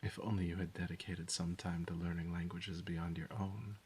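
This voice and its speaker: Man acting a deep, suave bookish musician. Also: little pitch movement, normal speed, a little mellow, husky. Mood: smug, flirtatious.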